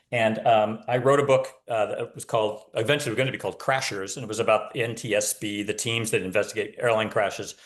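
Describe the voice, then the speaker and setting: Male author guest, voice on the low side, relaxed interview storytelling with a wry touch.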